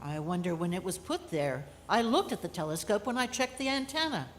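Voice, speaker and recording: A woman's voice: mid-range voice, lively intonation, a little fast, close to an everyday voice. A woman playing a dutiful spaceship first officer in a live radio drama, speaking in formal, procedural style. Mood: businesslike. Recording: echoey hall, long reverb, faint noise.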